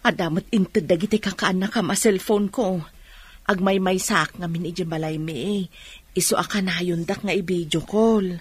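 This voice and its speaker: Woman playing husky, unassuming worried woman. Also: fairly low pitch, steady tone, moderate pace. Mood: chatty. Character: simple, worried woman living alone. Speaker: radio drama actor. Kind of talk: radio drama acting.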